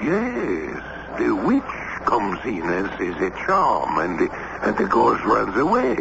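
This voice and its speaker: An actor playing a nervous, superstitious French servant in an old-time radio drama, hesitant and stammering in broken English with a French accent. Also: male voice, fairly low pitch, huge pitch swings, slow pace, creaky, heavily acted. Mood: fearful, earnest. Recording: dull, noisy vintage radio recording.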